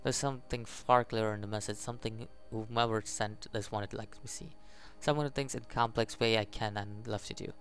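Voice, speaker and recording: A man hosting a gaming video, reading a visual novel aloud in a halting way, with casual commentary. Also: lowish voice, fairly even intonation, a bit bright, faint music behind. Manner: halting, stumbles over the text.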